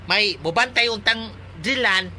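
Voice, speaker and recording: An actor playing a campy, excitable party-loving friend in a Cebuano radio drama, with a Cebuano accent and exclamatory delivery. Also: mid-range voice, a little slow, a little hiss or hum. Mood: teasing.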